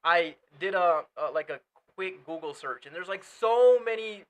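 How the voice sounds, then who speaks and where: Bright-voiced young Portuguese-born man. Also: sits high, expressive, normal speed, poor audio. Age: young adult. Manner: earnest, repeats and restarts words. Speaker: guest, entrepreneur. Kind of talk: casual interview chat.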